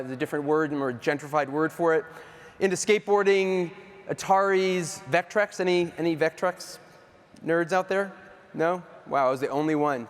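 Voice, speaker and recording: A middle-aged man from the East Coast speaks from a big stage into a microphone, his slightly high voice ringing with long hall reverb. He talks casually and self-deprecatingly, full of ums and asides. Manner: chatty, self-deprecating, many fillers. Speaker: keynote speaker. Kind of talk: casual conference keynote. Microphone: handheld or stage mic.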